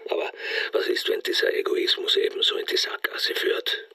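An actor playing a bitter, domineering old father in a radio play, brooding and rhetorical, with a husky, gravelly voice. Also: moderate pace, bright, forceful tone, whispered, natural voice. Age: older. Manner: brooding, rhetorical, repeating himself.

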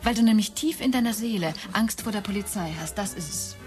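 An actress plays a middle-aged wife with a mid-pitched voice, needling and sceptical. Her delivery is blunt, sardonic and complaining, in a radio drama manner. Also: fairly quick, a little mellow, faint music behind, lightly acted. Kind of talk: German radio drama acting.

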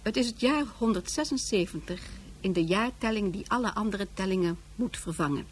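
A woman's voice: mid-range voice, expressive, fairly quick, a little hiss or hum, lightly acted. A woman narrating a science fiction radio play in a solemn, declamatory, scripted manner. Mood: solemn, expository.

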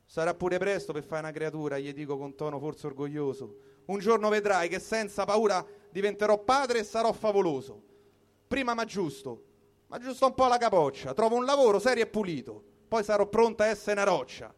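A man's voice: high voice, lively intonation, a little fast. A man with a Roman accent giving a dramatic recitation of a poem. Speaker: poetry reader.

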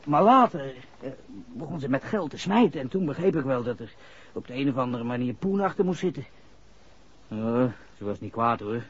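A man's voice: mid-range voice, slightly rough. An actor playing a nervous, shifty young man under police suspicion, stammering slangy lines in a 1960s radio drama. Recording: muffled vintage radio recording.

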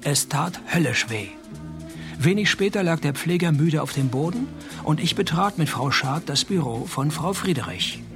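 A man's voice: a little high, normal speed, over music. An actor playing a wry, laconic male private detective who narrates his case and trades dry lines in a radio play. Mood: dry narration. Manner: laconic, sardonic, deadpan.

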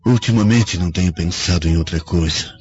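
A brooding Roman nobleman in a radio play, full of remorse and self-doubt. His voice sits very low and speaks with theatrical earnestness. Faint music plays behind the slightly muffled recording. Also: a little fast, a little mellow, breathy edge. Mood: regretful. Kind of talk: radio drama acting. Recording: dull lo-fi audio with faint music.

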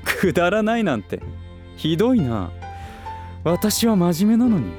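A man playing a suave, middle-aged gentleman who teases with smug, playful condescension in a voice drama. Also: high-pitched, very animated, unhurried, soft background music. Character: suave teasing older man, playfully villainous. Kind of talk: voice drama acting.

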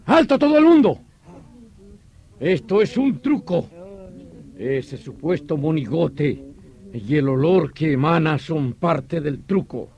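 Blustering police commissioner, old radio drama, high voice, slow emphatic delivery, exclamatory orders. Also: male voice, lively intonation, creaky, darkish tone, highs cut off, exaggerated voice. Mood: agitated, barking.

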